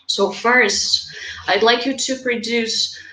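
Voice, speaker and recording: A woman presenting a pronunciation webinar, speaking in a measured, encouraging way and reading out participants' comments. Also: fairly high pitch, fairly even intonation, pushed and bright, slight background noise. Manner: measured, encouraging, thinks aloud with fillers. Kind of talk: live webinar teaching, reading chat comments. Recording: roomy echo.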